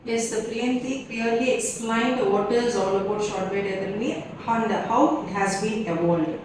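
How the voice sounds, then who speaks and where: A woman's voice: on the high side, measured pace. A woman with an Indian accent moderating a group discussion. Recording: echoey room, distant mic, noisy.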